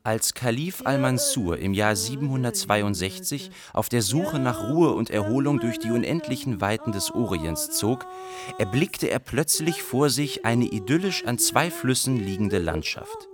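A man playing a storyteller who recounts legends and history in an epic, measured radio drama narration. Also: mid-range voice, very animated. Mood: solemn storytelling.

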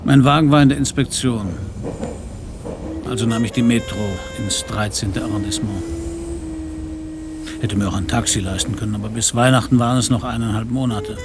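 A man's voice: on the low side, lightly acted. An actor playing a hard-boiled, stubborn male private detective in a radio crime play, talking in a dry, laconic, sardonic way. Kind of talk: first-person radio crime drama narration and dialogue.

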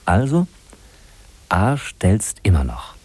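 A confiding narrator follows people like a detective in a fifties radio play. His voice is deep, dark and husky, speaking straight to the listener. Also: wildly swooping pitch, takes it slowly, no room sound, exaggerated voice. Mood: confiding, observant. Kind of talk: 1950s radio drama narration.

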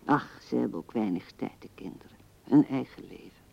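An actress playing an elderly, pensive widow murmuring a monologue in a radio drama, often slipping into whispers. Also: female voice, very deep voice, expressive, normal speed, vocal fry, husky, full character voice. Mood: grumbling, resigned. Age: older. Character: pensive seventy-year-old widow musing at her husband's grave. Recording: dull vintage radio recording.

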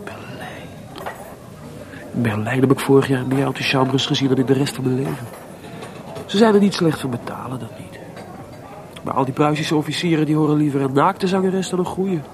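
An actor playing a melancholy, restless composer in a Dutch radio play, hesitant and searching for words, with theatrical delivery. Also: male voice, mid-range voice, normal speed, pauses often, background noise, lightly acted. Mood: sardonic, dismissive. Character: Mozart, restless, melancholy composer, proud but adrift.

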